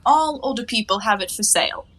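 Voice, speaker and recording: A woman's voice: fairly high pitch, animated, fairly quick, a bit bright. An actress playing a carefree, cheeky young woman of a 1920s stage comedy, with airy, teasing, mock-grand phrasing. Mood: flippant, carefree. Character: playful, cheeky, carefree young woman lounging in her bath.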